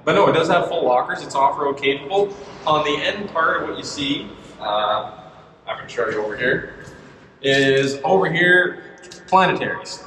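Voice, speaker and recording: A man in his thirties giving a relaxed, casual walkthrough of heavy truck axle parts, chuckling at himself and correcting his words as he goes. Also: on the high side, normal speed. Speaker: vlogger touring a truck axle factory. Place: large glass-walled indoor lobby. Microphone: camera mic from a few feet away. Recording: echoey hall, distant mic, faint noise.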